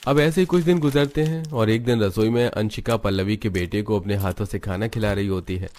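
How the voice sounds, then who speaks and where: A man reading scripted narration for an animated family story. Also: on the low side, brisk, slight background noise.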